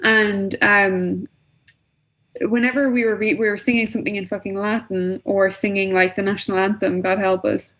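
Young woman on a podcast, casual chat, swears a lot, medium-pitched voice. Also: flat delivery, moderate pace, fairly bright tone. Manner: chatty, swears freely.

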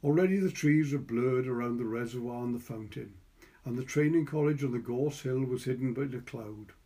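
A man's voice: medium pitch, brisk, warm tone. A man with a Welsh accent reading a literary short story as narrator. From Wales.

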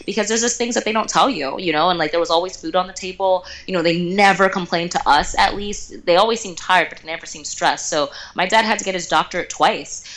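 Female interview guest from Texas, slightly low pitch, casual and animated storyteller. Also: fairly quick, few pauses, rough recording. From Houston, Texas, United States. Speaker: interview guest, startup founder.

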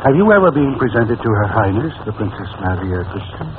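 Pompous old-time radio prime minister. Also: male voice, lowish voice, very animated, a little fast. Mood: pompous, hesitant. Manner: pompous, hesitant, repeating himself. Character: pompous, scheming older male prime minister, flustered yet courtly. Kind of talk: 1940s radio comedy acting. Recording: noisy, tinny old-time radio recording.

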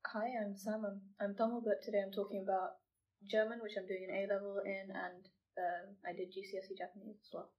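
A woman's voice: mid-range voice, little pitch movement, a little fast, muffled recording. A teenage girl from England speaking steadily as a guest in a casual discussion. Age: teens. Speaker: podcast guest. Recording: distant from the mic.

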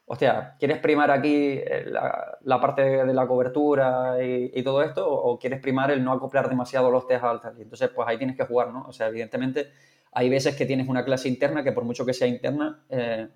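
A man's voice: medium pitch, level tone, a little fast, darkish tone. A man chatting casually as a podcast co-host, thinking aloud with frequent restarts. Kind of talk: casual chat.

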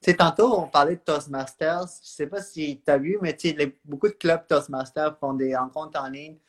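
A man's voice: fairly high pitch, steady tone, moderate pace. A man hosting a live interview, chatty and full of "ouais" and "tu sais". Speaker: live stream host. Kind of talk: casual interview.

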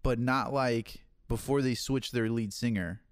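A man in his thirties chatting casually as a podcast guest, easygoing and quick to laugh. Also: mid-range voice, fairly even intonation, clear, resonant tone, dry recording, clean studio sound. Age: 30s. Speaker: podcast guest, online campus pastor.

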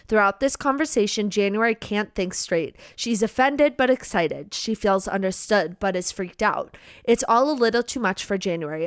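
A woman's voice: medium pitch, clean tone, dry recording, clean studio sound. A young woman co-hosting a book podcast, chatting casually in an enthusiastic, emphatic, gushing way.

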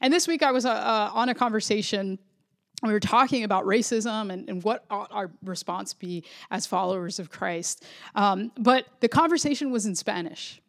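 A woman's voice: mid-range voice, normal speed, creaky, fairly bright tone. A woman pastor from Florida, preaching a sermon in an earnest, reflective way, often restarting phrases.

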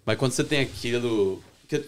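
A young Brazilian man with a Brazilian Portuguese accent, a chef chatting as a podcast guest in a relaxed way, often restarting his sentences. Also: mid-range voice, fairly quick. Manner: relaxed, talks with many restarts and self-corrections. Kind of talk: casual interview chat.

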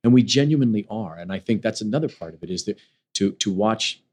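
Male actor, interview guest, lowish voice, animated storyteller. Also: fast, slightly rough. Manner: animated storyteller, acts out quoted lines. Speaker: stage actor. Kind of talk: conversational interview.

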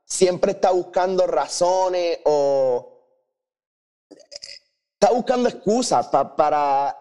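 Mellow, higher-voiced man, unhurried. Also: smooth. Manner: switches often between Spanish and English mid-sentence. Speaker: podcast co-host. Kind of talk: casual chat reviewing an audio drama.

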